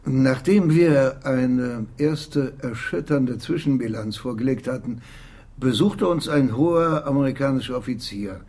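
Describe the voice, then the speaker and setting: Husky, guarded male professor. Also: medium pitch, dark tone. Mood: measured, bitter. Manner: measured, formal, guarded. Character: wary, bitter male archaeology professor. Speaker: radio drama actor. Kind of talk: radio drama dialogue.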